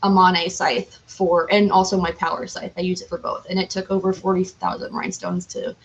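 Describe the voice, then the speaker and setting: A woman's voice: fairly low pitch, flat delivery, a little fast, slight background noise, rough recording. A woman chatting casually as an interview guest, with a candid, self-deprecating and talkative manner. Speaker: podcast guest, cosplayer.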